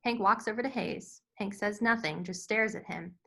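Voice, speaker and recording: A woman's voice: mid-range voice, moderate pace, creaky, fairly bright tone. A woman reading stage directions as scripted narration for a screenplay table read.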